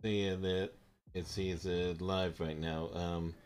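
A young man streaming a game live from his room, talking in halting bursts full of fillers and repeated words. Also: low voice, little pitch movement, darkish tone, slight background noise. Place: home room, indoors.

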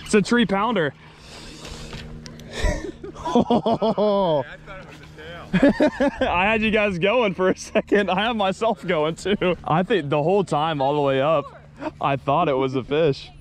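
A young man filming his own fishing trip at an outdoor pond on a body-worn camera, chatting excitedly to viewers and laughing often. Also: high-pitched, takes it slowly, pauses often. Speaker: fishing vlogger. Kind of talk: casual vlog commentary. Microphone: body-worn action camera.

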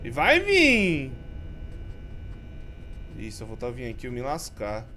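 A Brazilian man in his thirties, a streamer giving jumpy commentary as he plays a horror game, swinging from mumbles to yells. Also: mid-range voice, wildly swooping pitch, a little slow, a little hiss or hum. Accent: Brazilian Portuguese. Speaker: gaming streamer. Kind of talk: let's play commentary. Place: home streaming room with colored lights.

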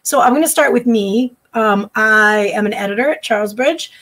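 A fairly high-voiced woman from the Boston area hosts a panel over a video call. She talks in a loose, chatty way, filling pauses with "um" as she introduces each guest. The sound is a plain laptop mic in a home room. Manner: warm, chatty introductions with frequent "um". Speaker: editor and panel moderator. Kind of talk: live online panel moderation. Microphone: laptop webcam mic on a video call.

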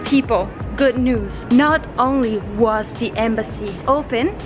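Young woman, chatty and playful vlogger, slightly high voice, handheld camera, loud music underneath. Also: unhurried. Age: young adult. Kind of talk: casual vlog chat. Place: streets and stations of a city, outdoors and indoors. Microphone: handheld camera at arm's length.

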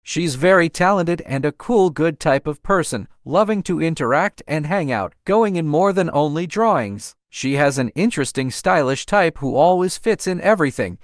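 Higher-pitched man reading narration, dry sound. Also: lively intonation, normal speed, few pauses, no echo. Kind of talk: scripted narration.

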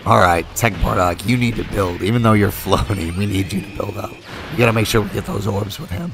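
Gravelly man commenting on his game. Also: on the low side, brisk, a little hiss or hum. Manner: relaxed, thinks aloud with fillers while playing. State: losing his voice, a little sick. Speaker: mobile game video creator. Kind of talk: casual gameplay commentary.

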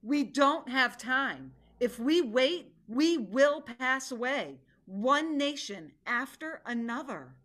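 A middle-aged woman playing a fierce, determined tiger in a dramatic play reading, speaking deliberately and with command. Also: high voice, animated, a bit bright, heavily acted. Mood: grim resolve. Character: fierce female tiger, stern, determined hunter. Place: home room, video call.

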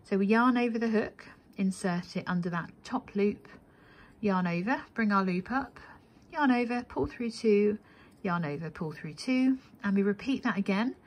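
A woman's voice: medium pitch, normal speed. A woman narrating a step-by-step craft tutorial as the instructor, methodical and counting steps aloud.

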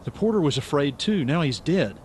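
A man's voice: fairly high pitch, animated, brisk, lightly acted. Radio drama: man acting naive amateur sleuth, wry and stubborn, swinging intonation, slight background noise. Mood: uneasy.

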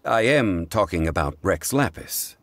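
An actor playing a calm, learned, dignified male consultant in a game, speaking in a measured, formal way. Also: deep voice, dry recording. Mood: composed.

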